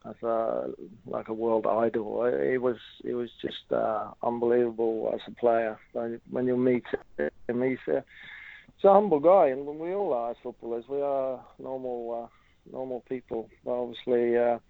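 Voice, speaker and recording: A middle-aged man from Norway with a Norwegian accent, a former footballer answering interview questions in a halting way with many fillers. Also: mid-range voice, steady tone, creaky, no room sound. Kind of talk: casual interview. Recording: tinny phone line.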